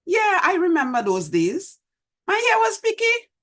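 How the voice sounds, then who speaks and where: A middle-aged woman with a Trinidadian accent, hosting an online show and gently reminiscing as she reads her poem, recorded over a video call from a small room at home. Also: very high voice, wildly swooping pitch, unhurried. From Trinidad and Tobago. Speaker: host. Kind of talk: reflective talk and poetry reading. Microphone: video call webcam.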